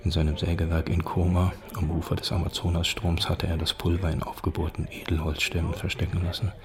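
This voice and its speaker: A very low-voiced man reads documentary narration. His delivery is sober and factual, with a flat, level intonation. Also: a little fast. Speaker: narrator reading documents and facts.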